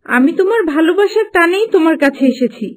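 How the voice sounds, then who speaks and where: A woman's voice: very high-pitched, animated, fast talker. A woman playing a devoted, cunning young golden fish wife in a Bengali fairy-tale cartoon, with a theatrical delivery. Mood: sweet, coaxing. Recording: faint music behind the voice.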